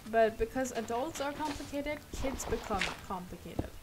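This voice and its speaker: A young woman with a Swedish accent, a native Swedish speaker, chatting casually and rambling softly while she plays a game on stream. She speaks with a sore throat. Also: on the high side, expressive, normal speed. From Sweden. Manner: rambling, soft, laughs often. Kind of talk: casual chat while gaming. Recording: loud noise behind the voice.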